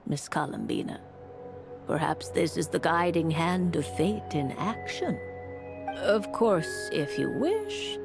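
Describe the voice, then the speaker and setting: An actress playing a refined woman mage and fortune-teller in a video game, speaking formally and courteously with measured eloquence. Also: fairly low pitch, exaggerated voice. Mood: intrigued. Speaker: fortune-telling mage character. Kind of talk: video game character acting. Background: music.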